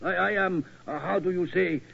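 A man playing an aging, deferential German tower keeper with a German accent, halting and pleading, in 1940s radio acting. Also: high voice, gravelly, slightly rough, exaggerated voice. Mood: troubled. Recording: dull, lo-fi old radio recording.

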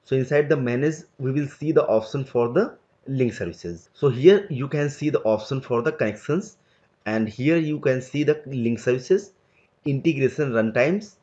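A man talking through a screen-recorded software lesson at a middle pitch. He explains each click step by step in a loose, unpolished way. The audio is a bit dull and lo-fi. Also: moderate pace. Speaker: tutorial instructor.

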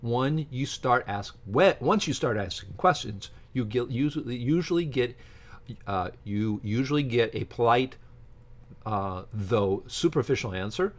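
A middle-aged man speaks at an average pitch. He hosts an informal commentary, moving between halting read-aloud passages and his own opinionated asides. He often restarts phrases and breaks off to explain what he thinks. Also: expressive. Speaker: YouTube science channel host. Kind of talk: informal commentary while reading aloud from a book. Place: small home office with bookshelves, indoors.

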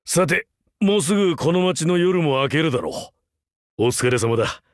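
A male actor playing a rugged older vampire hunter turned teacher in a game, wry, laconic and gruffly fatherly. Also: fairly high pitch, animated, normal speed, dry recording, studio quality, close to an everyday voice. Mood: gently weary. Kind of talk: Japanese mobile game character voice lines.

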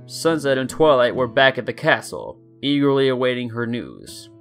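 Young man narrating over soft guitar music. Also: medium pitch, big pitch swings, normal speed. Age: 20s. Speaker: story narrator and channel host. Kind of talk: scripted dramatic story narration.

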